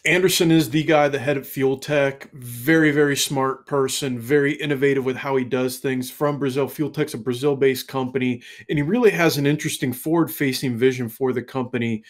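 A man in his thirties co-hosting a casual car show, talking in a relaxed, rambling way on a headset. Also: mid-range voice, brisk, hardly any pauses. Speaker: co-host. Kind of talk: casual podcast chat.

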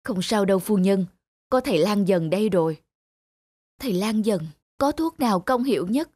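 A man voicing a scheming, wealthy middle-aged merchant in an animated folk tale, smooth-talking and self-important. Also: high voice, moderate pace, no echo, studio quality. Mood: ingratiating, scheming.

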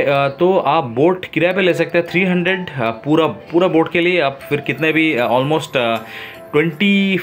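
A man narrating his own travel vlog in a relaxed, enthusiastic way, pausing often with fillers, filmed on a phone outdoors on a river boat. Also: fairly high pitch. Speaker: travel vlogger.

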